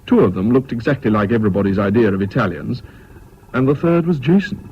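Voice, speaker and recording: An actor narrating as a former British army officer recalling the war, measured, composed and dryly wry. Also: male voice, mid-range voice, lively intonation, lightly acted. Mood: reflective. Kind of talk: scripted first-person narration in radio drama. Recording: muffled old radio recording, faint noise.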